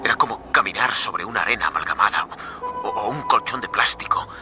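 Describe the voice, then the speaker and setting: Desperate stranded adventurer, played by a man: dramatic suspense radio delivery, lower male register, prominent music underneath. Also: a little fast, natural voice. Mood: tense, hushed.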